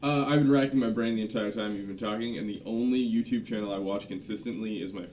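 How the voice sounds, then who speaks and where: A man's voice: on the low side, fast talker, darkish tone, slight background noise. A man talking casually and self-deprecatingly as a guest in a panel chat. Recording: slightly distant from the mic.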